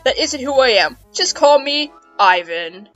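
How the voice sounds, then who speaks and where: A woman's voice: high-pitched, animated, a little slow, fairly bright tone, dull audio. A woman voicing a weary, reformed male former villain in an earnest, subdued manner for a fan fiction reading. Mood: earnest, regretful. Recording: music behind the voice.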